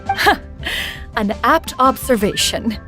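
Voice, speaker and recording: A woman's voice: fairly high pitch, lively intonation, slow, sharp tone, soft background music, heavily acted. A woman playing a proud, aloof immortal sage in a video game, speaking in a haughty, formal, archaic manner. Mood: composed, formal. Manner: haughty, formal, archaic, calls herself one. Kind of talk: video game character acting.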